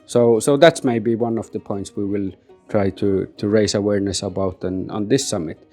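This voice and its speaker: A man's voice: fairly low pitch, fairly even intonation, normal speed, mellow, faint music behind. A Sami man from Sapmi, a delegate giving an unscripted interview answer, hesitant with many fillers and repeated words. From Sapmi, northern Scandinavia. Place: summit venue in Geneva.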